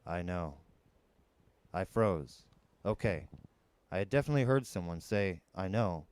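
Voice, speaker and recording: A man's voice: low voice, animated, measured pace, darkish tone, clean tone. A man narrating a first-person adventure novel in a dramatic storytelling style. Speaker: storyteller reading an audiobook.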